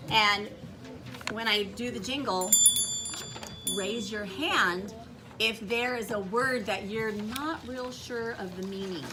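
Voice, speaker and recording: A woman's voice: a little high, distant mic. A woman teacher giving classroom instructions and often checking understanding with questions. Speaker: elementary school teacher. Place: indoor school classroom. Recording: noisy classroom with clear background noise.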